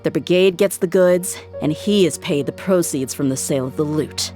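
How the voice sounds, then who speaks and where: Woman voicing a tough young merc lady for a game, low voice, blunt n no-nonsense, bit of music behind. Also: normal speed, mellow, lightly acted. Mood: serious, troubled. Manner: assertive, no-nonsense. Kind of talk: video game voice acting.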